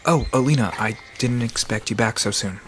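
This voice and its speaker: A man's voice: mid-range voice, moderate pace, heavy background noise, lightly acted. A man playing a friendly, nerdy guide to mythical creatures in an audio drama, explaining lore eagerly with hesitant asides. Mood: friendly, casual. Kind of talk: conversational audio drama dialogue.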